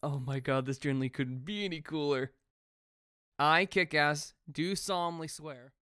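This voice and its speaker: A woman playing an earnest, awkward teenage boy superhero in a comic dub, casual and expressive. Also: deep voice, a little slow, smooth, dry recording, clean studio sound. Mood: awed, eager.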